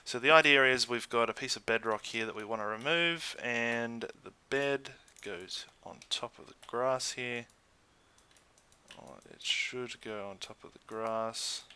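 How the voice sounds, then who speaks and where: Australian man, crisp bright voice, medium pitch, casual let's play commentary, easygoing, chatty. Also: measured pace. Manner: easygoing, chatty, thinks out loud. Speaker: gaming YouTuber.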